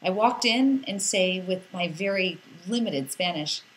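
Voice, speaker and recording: A woman reading a scripted first-person story as an expressive narrator who acts out the exclamations in it. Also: medium pitch, measured pace, a little hiss or hum, poor audio.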